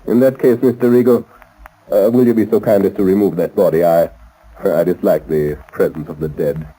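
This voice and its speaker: A cold-blooded doctor in a vintage 1930s radio play. His voice is deep and velvety dark, and he speaks in formal, measured phrases with hesitant pauses. The menace stays courteous and suave throughout. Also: steady tone, poor audio. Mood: smug, curious. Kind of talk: 1930s radio drama acting. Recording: old 1930s radio recording.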